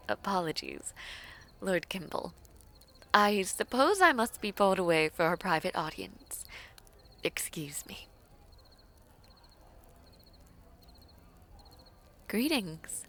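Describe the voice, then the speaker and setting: A woman playing a poised, teasing, affectionate villainess princess in a fantasy romance audio roleplay, with formal courtly phrasing and a breathy, often near-whispered delivery. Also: on the low side, animated, slow pace, long pauses, fairly bright tone, slight background noise, exaggerated voice. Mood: flustered, polite.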